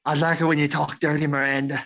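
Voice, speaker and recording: A man playing an easygoing, affectionate old husband ghost, placating and gently teasing, on a muffled radio play recording. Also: a little high, flat delivery, quick pace, gravelly. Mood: placating, tender. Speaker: actor in an amateur radio play.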